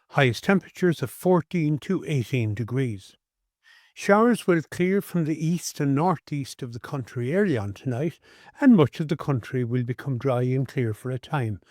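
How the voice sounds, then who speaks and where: A man's voice: mid-range voice, a little mellow, no echo. Irish man reading a dry-sounding radio forecast. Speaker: weather forecast reader. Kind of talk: scripted radio weather forecast.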